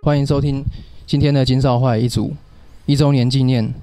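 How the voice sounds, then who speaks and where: A man's voice: medium pitch, steady tone, brisk, over loud music. A man hosting a radio show in a casual, joking chat with a co-host, with a playful mock-grand manner.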